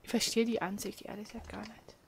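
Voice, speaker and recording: A woman's voice: mid-range voice, flat delivery, fast, slight background noise. A woman chatting casually as co-host of a video game let's play. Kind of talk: casual gaming chat. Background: game music.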